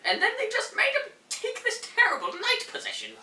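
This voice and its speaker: A woman playing a kindly, fussy, doting old aunt in a stage comedy read-through, gentle, proper and fretful. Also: very high-pitched, huge pitch swings, fairly quick, exaggerated voice. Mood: chatty, reassuring. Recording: roomy echo, distant mic.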